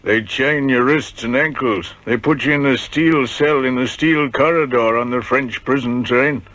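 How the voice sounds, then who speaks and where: A man plays an old convict in a radio drama, haunted and bitter. His cracked voice moves slowly through grim memories. The recording is muffled and lo-fi. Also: medium pitch, a bit bright, no echo, close to an everyday voice. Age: older. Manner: grim, bitterly ironic storytelling. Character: haunted old ex-convict recalling brutal prison horrors. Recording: dull, lo-fi vintage radio recording.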